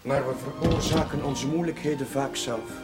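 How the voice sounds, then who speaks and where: An actor playing an earnest, progressive priest under strain in a television drama, speaking tersely. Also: male voice, a little high, fairly even intonation, moderate pace, over loud music, natural voice. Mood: concerned, probing. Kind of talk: Dutch television drama acting.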